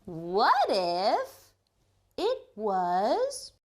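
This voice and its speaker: A woman hosting a children's show, giving simple, encouraging instructions in an expressive way. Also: medium pitch, very slow. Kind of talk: instructional kids' show presenting.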